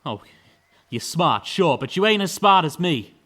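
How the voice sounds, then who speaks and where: A man's voice: fairly high pitch, expressive, a little slow, sharp tone, heavily acted. An actor playing a shifty, defiant male ex-convict and forger in an audio stage drama, with a manner that wavers between evasive and sneering. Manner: hesitant, evasive, then sneering.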